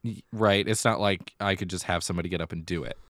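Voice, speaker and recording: A man's voice: low-pitched, fairly even intonation, fast, a bit bright, no room sound, clean studio sound. A man co-hosting a casual podcast, chatting and telling stories in a theatrical way. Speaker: podcast co-host; middle school theater director. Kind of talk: casual conversational podcast chat.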